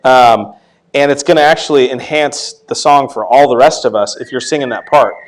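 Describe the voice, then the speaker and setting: A man with a light tenor voice at a middle pitch teaches a church class in a relaxed, unscripted way. He stops and restarts his sentences often and fills the gaps with ums. He sounds like someone thinking aloud while explaining. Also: rough recording. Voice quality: tenor voice, not deep. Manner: halting, many restarts and fillers. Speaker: Sunday school teacher.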